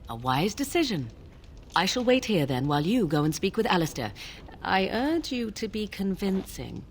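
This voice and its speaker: An actress playing a cool, secretive witch in a fantasy video game, speaking in a formal, deliberate, insistent way. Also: medium pitch, sing-song, a little hiss or hum. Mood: composed, satisfied. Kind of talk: scripted video game dialogue acting.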